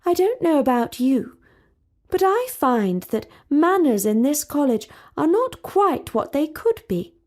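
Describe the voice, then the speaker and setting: Woman reader as poised young princess, prim storybook voice, high and cool. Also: very animated, a little slow, a little mellow. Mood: formal. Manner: precise, formal, unruffled. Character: poised proper young princess, coolly formal.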